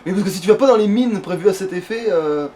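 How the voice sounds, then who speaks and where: Male let's player, voice a touch high. Loose, sweary thinking aloud over light ambient noise. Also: quick pace. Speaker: video game let's player at the controls. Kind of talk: casual gaming chat, commentary while playing. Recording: steady background noise, amateur gameplay recording.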